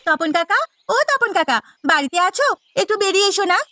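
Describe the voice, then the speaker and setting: Casting: woman to voice eager cartoon village sister, piercingly high-pitched, earnest, pleading, light music behind. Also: expressive, a little fast, pushed and bright, full character voice. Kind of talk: Bengali cartoon voice acting.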